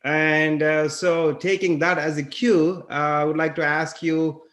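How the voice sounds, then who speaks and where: A man's voice: a little high, little pitch movement, unhurried, a bit bright. A man co-hosting a podcast, asking rambling, hesitant questions full of fillers. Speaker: podcast co-host. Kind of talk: interview questioning. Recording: roomy echo.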